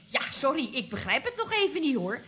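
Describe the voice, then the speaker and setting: Matter of fact dutch woman, netherlands accent, radio comedy, high bright voice, innocent pert questions. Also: sing-song, a little fast, exaggerated voice. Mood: puzzled, pert. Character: puzzled, matter-of-fact woman needling the boss.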